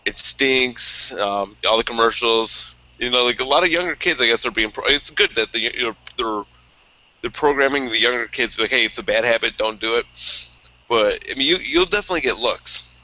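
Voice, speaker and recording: A man's voice: medium pitch, normal speed, bright, forceful tone, lo-fi sound. A man hosting an interview, asking hesitant, rambling questions. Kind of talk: casual interview talk.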